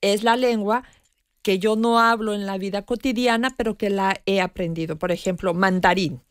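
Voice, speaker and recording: A woman in her fifties, a guest on a radio talk show, speaking in a thoughtful, measured way with frequent pauses and hesitations. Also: medium pitch, fairly even intonation, clear, resonant tone, no echo, clean studio sound. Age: 50s. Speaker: guest expert on language learning. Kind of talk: radio conversation.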